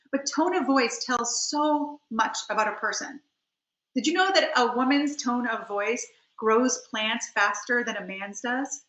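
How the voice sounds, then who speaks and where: A woman's voice: on the high side, sharp tone. Middle aged lady doing a webinar lesson, stresses her key points, echoey room, slightly dull computer audio. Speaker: webinar presenter, communication coach and trial attorney. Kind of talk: instructional webinar talk. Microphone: computer on a video call.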